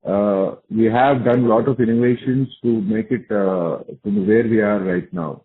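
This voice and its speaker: A man's voice: on the low side, steady tone, moderate pace. A man with an Indian accent speaks as a company executive answering questions on an earnings call, assured and repeating key points. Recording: narrow tinny phone conference line.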